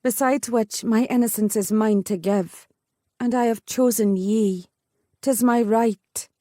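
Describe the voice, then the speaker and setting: A woman voicing a bold, impulsive young Highland maiden. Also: fairly even intonation, takes it slowly, mellow, no echo, clean studio sound. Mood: yearning.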